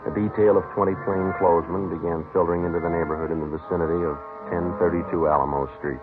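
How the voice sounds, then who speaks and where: An actor playing a terse, stoic police sergeant in a 1950s radio crime drama, speaking in a clipped deadpan. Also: male voice, low-pitched, fast talker, lightly acted. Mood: flat, matter-of-fact. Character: terse, stoic male police detective sergeant narrating his case. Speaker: lead actor and narrator. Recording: muffled vintage radio recording with music behind.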